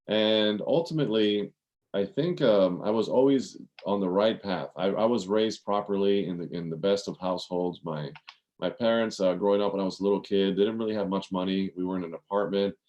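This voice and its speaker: Deep-voiced man in his thirties. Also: fairly quick, a little mellow, dull audio. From Maryland, USA. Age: 30s. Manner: earnest, many fillers and restarts. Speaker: podcast guest. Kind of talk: casual interview storytelling.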